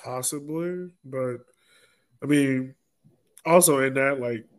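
Male sports podcast co-host, average pitch, informal and rambling conversational delivery. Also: slow pace. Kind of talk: casual sports discussion.

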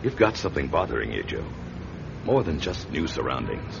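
An actor playing a concerned, steady male friend who gently presses with questions in classic radio drama. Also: very deep voice, normal speed, creaky, full character voice. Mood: concerned, coaxing. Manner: solicitous, questioning. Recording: dull, noisy old radio recording.

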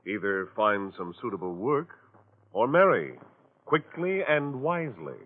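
A matter-of-fact male lawyer in a 1940s radio drama. His fairly low voice rises and falls markedly as he delivers deliberate, blunt advice. The recording is tinny and narrow. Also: big pitch swings, background noise. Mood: blunt, measured.

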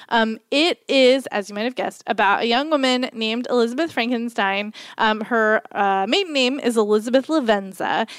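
A woman co-hosting a podcast, chatting casually about a book in a rambling way with lots of fillers, a little croaky from a cold. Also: mid-range voice, fairly bright tone, no room sound, clean studio sound. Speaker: podcast co-host. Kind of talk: casual book-review chat.

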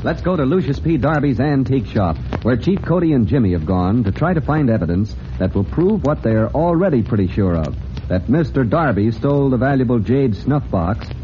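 An American man announces and narrates a vintage radio serial. His delivery is emphatic and theatrical, at a middling pitch. The old recording is muffled with some background noise. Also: big pitch swings, moderate pace.

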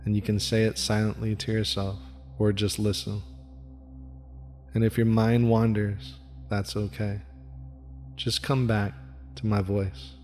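Slow, soothing low-voiced man. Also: little pitch movement. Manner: soft, soothing, slow repetitive cadence. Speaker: meditation guide. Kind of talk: guided meditation. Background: faint music with gong.

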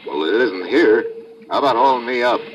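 An actor playing a tough, wry undercover diver, narrating tersely in an old-time radio adventure. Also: male voice, mid-range voice, animated, brisk, a little hiss or hum, close to an everyday voice, low-quality recording. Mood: wary. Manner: terse, hard-boiled. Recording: vintage radio recording with music.

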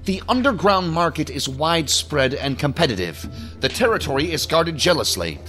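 An officious federal agent in an audio drama, with a fairly high male voice that swings in pitch. He speaks in formal, measured phrases with a pompous, easily irritated edge, over music. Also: sing-song, bright, forceful tone. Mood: authoritative.

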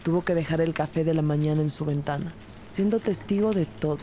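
A woman's voice: low-pitched, a little fast, slight background noise, close to an everyday voice. A woman playing a composed, focused police detective who narrates her case in the first person in an audio drama. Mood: focused, narrating. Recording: loud music bed behind the voice. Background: dramatic music.

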